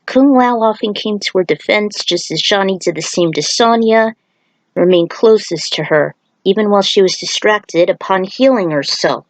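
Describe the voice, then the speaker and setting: A woman's voice: mid-range voice, moderate pace, no echo, poor audio. A woman reading a scripted story aloud in a dramatized way, with the odd aside. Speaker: fan fiction reader.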